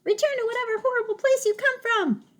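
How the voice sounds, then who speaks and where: A woman voicing a timid male weasel with a high, squeaky, shrill voice. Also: fairly even intonation, fast talker, fairly bright tone. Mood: scared.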